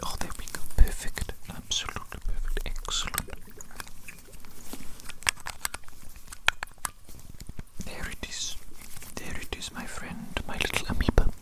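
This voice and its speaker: A performer playing an eccentric evil doctor in an ASMR medical roleplay, speaking in a breathy whisper and fussily repeating phrases to a tiny patient. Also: normal speed, lightly acted, rough recording. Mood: gleeful, fussy. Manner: repetitive, murmuring, fussy, playful.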